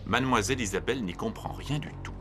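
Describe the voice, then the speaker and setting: A man narrating a children's cartoon tale in an expressive storybook manner. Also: medium pitch, fast talker, over music.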